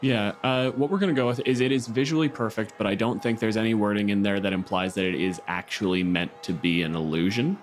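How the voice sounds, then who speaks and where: A man's voice: fairly low pitch, fairly even intonation, fast, few pauses. A man co-hosting a podcast as game master, refereeing a tabletop game in casual talk.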